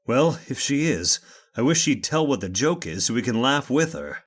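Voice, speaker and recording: An actor playing a dry, unflappable gentleman with a wry, commanding manner in an old-time radio horror drama. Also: medium pitch, very animated, moderate pace. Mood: wry, steady. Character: dry, unflappable gentleman master sheltering from a storm.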